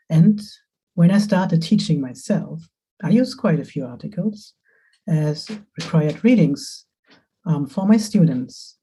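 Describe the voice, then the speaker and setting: A woman, a researcher, introducing herself in a measured, matter-of-fact way on a video call. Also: sits low, warm tone, husky. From Germany. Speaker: panelist, researcher. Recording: muffled lo-fi call audio.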